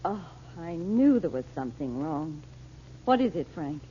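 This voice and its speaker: A woman's voice: low-pitched, big pitch swings, husky, heavily acted. A woman playing a worried, fretful mother of two teenagers, in 1930s radio drama style. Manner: worried, quick to fret. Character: anxious mother of two teens. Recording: muffled old radio recording.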